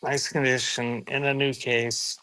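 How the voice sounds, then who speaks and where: Male live-sale host, bright forward voice at middling pitch, slightly unhurried, casual and off-the-cuff, music playing behind. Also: flat delivery, low-quality recording. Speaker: live auction seller and host. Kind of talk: casual live-selling chat.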